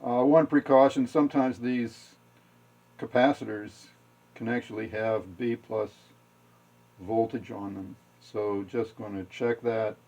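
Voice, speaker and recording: Dull-sounding lo-fi recording of a halting man. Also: on the low side, steady tone, gravelly, darkish tone. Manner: halting, matter-of-fact, thinking aloud. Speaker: radio repair hobbyist demonstrating. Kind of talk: casual hands-on technical demonstration. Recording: dull lo-fi home video audio.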